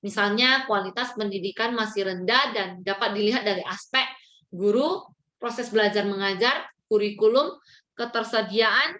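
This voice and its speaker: A woman's voice: mid-range voice, moderate pace, fairly bright tone. A woman giving a formal, didactic lecture-style explanation, as if working from notes. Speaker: lecturer presenting on education policy research.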